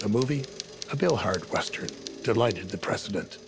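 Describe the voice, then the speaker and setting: A man playing a cynical 1910s newspaper correspondent in an audio drama, dry, deadpan and sardonic. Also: on the low side, moderate pace, creaky. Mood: florid. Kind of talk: audio fiction acting, florid report narration. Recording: prominent music behind the voice.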